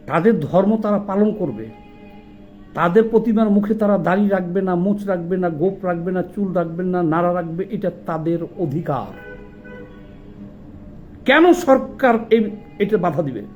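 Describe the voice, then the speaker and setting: High-voiced Bangladeshi man, indignant political commentary. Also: measured pace, long pauses, phone-quality sound, faint music behind. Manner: indignant, emphatic, rhetorical questioning. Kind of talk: impassioned political monologue.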